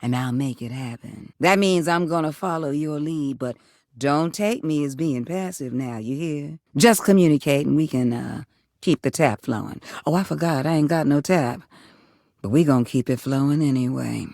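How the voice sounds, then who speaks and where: A woman voicing a sultry, affectionate female household water heater in a video game, a gravelly voice and a folksy drawl full of pet names. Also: deep voice, normal speed, a little mellow, no echo, clean studio sound. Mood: firm, simmering.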